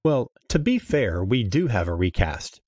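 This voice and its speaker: Male podcast guest, fairly low voice, dry sound, informal talk. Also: sing-song, a little slow, a little mellow, dry recording. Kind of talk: casual podcast discussion.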